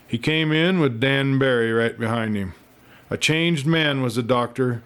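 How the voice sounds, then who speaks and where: A man narrates a western novel in a folksy, dramatic way. His voice sits at a medium pitch, and he tells it as a storyteller would, with plenty of expression. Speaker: audiobook reader and storyteller.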